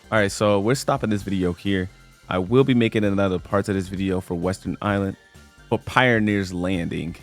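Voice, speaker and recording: A man narrating a casual game tutorial, laid-back and slangy, talking straight to his viewers. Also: lowish voice. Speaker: gaming video creator.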